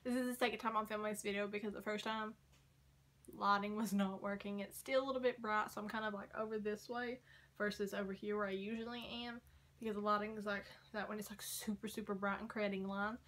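A young woman in her twenties rambling casually through a makeup haul, her voice going hoarse. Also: mid-range voice, fairly even intonation, fast, a bit bright. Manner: chatty, rambling, frequent likes and ums. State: losing her voice. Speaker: beauty vlogger. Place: small bedroom corner by a door.

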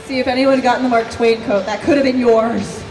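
A woman's voice: fairly high pitch, fairly even intonation, brisk, music in the background. A woman chatting playfully and encouragingly at an expo booth. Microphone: off-mic, picked up by camera. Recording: distant, echoey expo hall.

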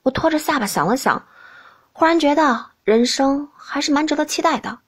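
A woman's voice: high-pitched, expressive, moderate pace. A woman reading scripted first-person fiction as an audiobook narrator.

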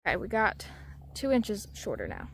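A woman's voice: medium pitch, wildly swooping pitch, normal speed, slight background noise. A woman chatting casually outdoors as she narrates a vlog from behind the camera. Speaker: vlogger, camera operator.

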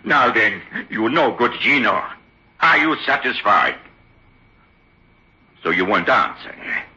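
A paranoid, bitter Italian ventriloquist in an old radio play. His delivery swings wildly in pitch and is sharply forceful, with broken English and heavy drama. The old recording is dull and lo-fi. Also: full character voice. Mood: menacing.

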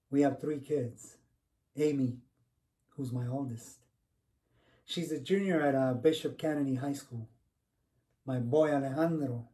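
A middle-aged man with a mellow voice, acting out a devoted educator and grateful son in a conversational stage monologue. He sits back from the mic in a room, sounding slightly distant. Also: mid-range voice, lightly acted. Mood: fond. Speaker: actor performing a monologue. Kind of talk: dramatized first-person narrative, conversational. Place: small home room, indoors.

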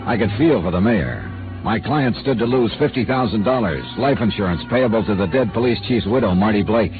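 A man's voice: on the low side, animated, fairly quick, over music, lightly acted. A man playing wry radio insurance investigator. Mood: narrating. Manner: dry, skeptical, probing questions. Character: wry insurance investigator, male, middle-aged. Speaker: narrator and lead. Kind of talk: 1950s radio drama acting. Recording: tinny lo-fi old radio broadcast with hiss.

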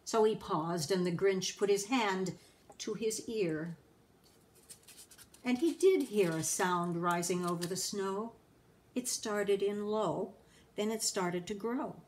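A woman in her sixties reading a children's storybook aloud expressively, deliberately and with emphatic pauses. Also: medium pitch, a little mellow. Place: living room at home.

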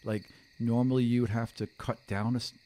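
A man's voice: mid-range voice, steady tone, fairly quick, dark tone. A man in his thirties improvising narration as game master, close on a podcast microphone in a small room. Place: small studio room, indoors. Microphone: close podcast microphone on a stand.

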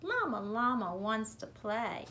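Woman, forties, high voice, slow rhythmic storybook reading for young children, noisy home recording. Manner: expressive, rhythmic, deliberate delivery for young children. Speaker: preschool teacher reading aloud. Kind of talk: children's picture book read-aloud. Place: bedroom at home.

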